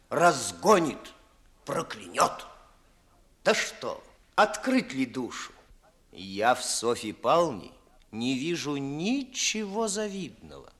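An actor playing a servile young secretary in a classical radio play, a timid flatterer with an insinuating, sugary, theatrical manner. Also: male voice, on the high side, exaggerated voice. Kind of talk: 1950s Russian classical radio theatre acting.

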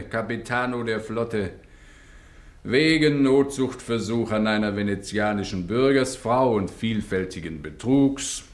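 An actor playing a stern, impatient judge in a radio drama, questioning in a formal, authoritative way. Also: male voice, mid-range voice, close to an everyday voice. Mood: commanding. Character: stern, impatient male judge of the Venetian court. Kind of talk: German radio drama acting.

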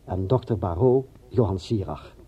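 Formal radio announcer guy reading credits, bit husky, muffled old recording. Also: low voice. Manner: measured, formal list reading. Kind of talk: formal scripted closing credits.

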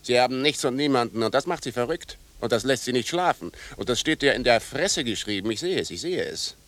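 A man's voice: mid-range voice, animated, a little fast, a bit bright, no room sound. An actor in a radio drama playing a proud, spurned, menacing man who speaks in short, commanding, repeated phrases. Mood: menacing, bitter. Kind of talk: German radio drama acting.

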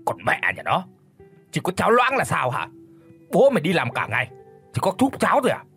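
A man's voice: high voice, huge pitch swings, normal speed, creaky, sharp tone, faint music behind, voice pushed up, heavily acted. A man doing a bellowing, brutish drunk husband. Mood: furious. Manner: bellowing and abusive. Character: drunken gambler husband, violent and cruel.

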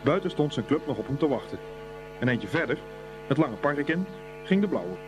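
A man's voice: mid-range voice, very animated, moderate pace, music in the background, lightly acted. A man narrating a scripted boys' school story for a radio play. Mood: storytelling.

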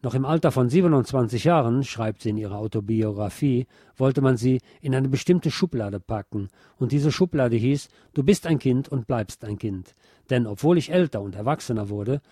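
A man reading a scripted documentary narration in a measured, articulate way. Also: mid-range voice, lively intonation, mellow, husky, dry recording, clean studio sound.

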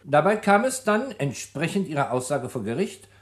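An actor playing a skeptical prosecutor who questions a suspect in an old radio play, probing and ironic. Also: male voice, on the high side, sing-song, normal speed. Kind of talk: 1950s radio drama acting.

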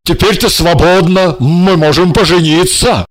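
A woman voicing an ardent, excitable male painter in love, speaking in an effusive, exclamatory way. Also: lowish voice, a little slow, no room sound, close to an everyday voice. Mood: overjoyed. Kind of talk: audiobook character reading.

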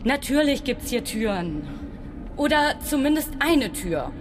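A woman acting a stubborn, sharp-tongued character in a radio drama, insistent and sarcastic. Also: high voice, very animated, measured pace, noisy background. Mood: argumentative, sarcastic. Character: stubborn, contrary, sharp-tongued woman who argues logically.

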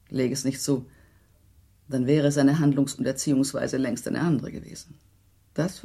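An actress playing a gracious, tactful minister's wife in a German radio play, speaking in a formal, measured, diplomatic way. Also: female voice, low voice, creaky, warm tone, slightly rough, heavily acted.

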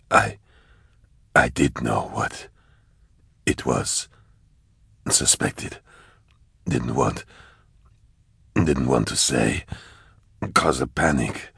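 A man voicing a mortally wounded hunter who gasps out broken, breathy phrases with faltering pauses in a storybook reading. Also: a bass voice, bright, forceful tone, no echo, clean studio sound, pitched below the performer's own voice, heavily acted. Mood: dying, fearful. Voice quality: breathy, broken, halting whisper. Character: mortally wounded male hunter, gasping his last words.

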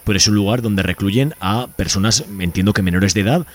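A Spanish man with a low voice hosts a radio programme. He speaks informally and conversationally, often checking in with short tag questions as he addresses listeners and his guest. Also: a little fast. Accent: Spain. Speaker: radio host.

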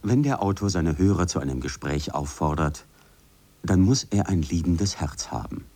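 A man's voice: low voice, expressive, normal speed, a little mellow. A man giving a formal scripted reading of quoted texts.

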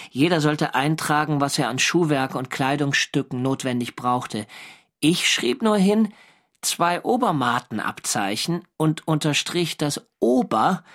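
An actor playing a wartime sailor narrating his diary in a measured, dryly wry way for a radio drama. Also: mid-range voice.

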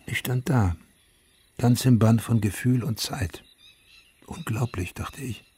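An actor playing a haunted middle-aged veteran and father, narrating his story in a radio drama in a subdued, measured, reflective way with a husky voice. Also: mid-range voice, mellow. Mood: somber, reflective. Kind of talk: literary first-person radio drama narration.

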